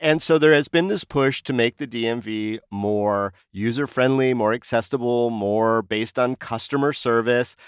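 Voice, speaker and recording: A man with a clean, smooth voice in a dry, close recording talks through local news as a podcast co-host. He keeps it conversational and hands questions over to the others. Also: mid-range voice, normal speed, hardly any pauses, no room sound. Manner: conversational, posing questions to co-panelists. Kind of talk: casual news discussion.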